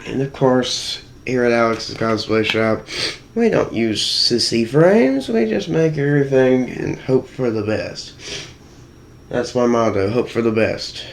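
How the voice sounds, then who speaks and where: A man's voice: medium pitch, lively intonation, measured pace, slight background noise. A man with a put-on British accent, rambling and joking while walking through a cosplay build. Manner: rambling, jokey, self-deprecating. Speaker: cosplay maker. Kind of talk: how-to tutorial vlog. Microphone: voice muffled inside a costume helmet.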